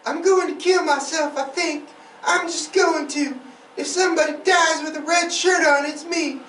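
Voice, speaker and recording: Weepy male murderer confessing on a call, acted by a male podcaster, whiny, very high, distant roomy recording. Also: steady tone, normal speed, pushed and bright. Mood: tearful, self-pitying. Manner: tearful, self-pitying, mocking exaggeration. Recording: roomy, distant mic with faint noise.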